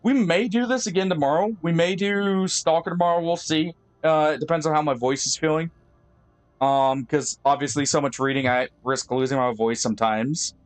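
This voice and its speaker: Higher-voiced man streaming a game. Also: fairly even intonation. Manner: thinks aloud with pauses and fillers; friendly sign-off to viewers. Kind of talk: live gameplay commentary.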